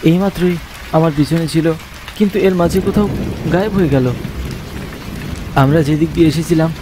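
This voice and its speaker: A man's voice: fairly high pitch, normal speed. Man narrating horror over noisy rain. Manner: suspenseful storytelling. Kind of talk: dramatic first-person scripted horror narration. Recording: constant rain and thunder noise behind. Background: rain and thunder sound effects.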